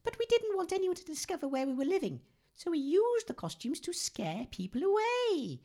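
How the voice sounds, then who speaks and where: A woman's voice: extremely high pitch, very animated, moderate pace, dark tone. A woman voicing a weary, blunt mutant woman who speaks in a plain, matter-of-fact way. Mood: dry.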